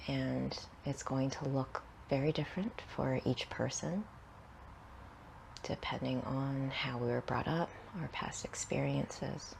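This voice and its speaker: A woman leading a guided meditation in a soothing, unhurried manner, leaving long pauses between short phrases, with soft, breathy endings. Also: rumbling low, noisy background.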